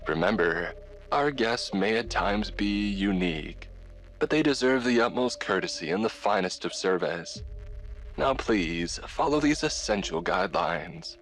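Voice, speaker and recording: A man voicing a cheerful 1950s hotel training-film host with a transatlantic accent and a practiced, upbeat, oddly pausing delivery. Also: fairly low pitch, expressive, a bit bright, soft background music, pitched above the performer's own voice. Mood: cheerful, welcoming. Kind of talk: 1950s instructional film presenting.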